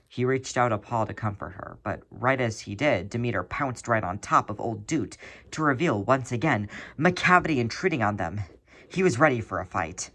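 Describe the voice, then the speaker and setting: A mid-pitched voice reads a piece of fan fiction aloud. The delivery is scripted narration, told in an expressive and dramatic storytelling manner. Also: breathy edge.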